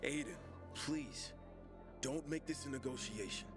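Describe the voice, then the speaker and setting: A man's voice: mid-range voice, a little slow, close to an everyday voice. An actor voicing a stern male official with a formal manner, in video game dialogue. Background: music.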